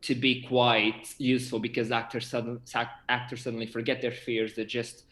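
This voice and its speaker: A young man chatting casually as a theatre director in an interview, animated and often repeating words as he looks for phrasing. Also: mid-range voice, normal speed. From Portugal. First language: Portuguese. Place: indoor room on a video call. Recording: roomy, echoey video-call sound.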